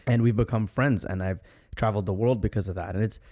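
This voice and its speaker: A young man in his twenties hosting a casual podcast chat, speaking in a calm, thoughtful, reflective way. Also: on the low side, fast. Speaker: podcast host.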